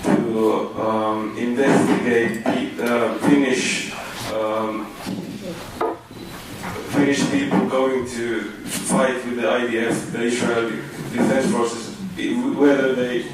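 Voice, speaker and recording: A man in the audience asking a halting question full of pauses and fillers. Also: mid-range voice, level tone, noisy background. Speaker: audience member. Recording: very echoey hall, distant mic, clear clicks.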